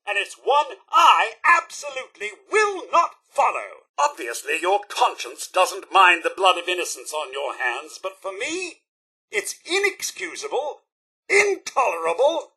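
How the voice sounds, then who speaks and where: Game companion: loyal, well-mannered robot butler, British accent, bright edge, slightly rough tone, formal and theatrical. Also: male voice, sits high, full character voice. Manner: prim, formal, theatrical enunciation. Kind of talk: scripted video game voice lines.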